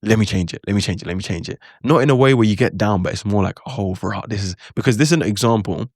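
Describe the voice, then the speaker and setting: A young man talks casually about learning a language, chatty and animated, acting out his own reactions. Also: fairly low pitch, fast, no room sound, clean studio sound. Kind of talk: casual talking-head advice.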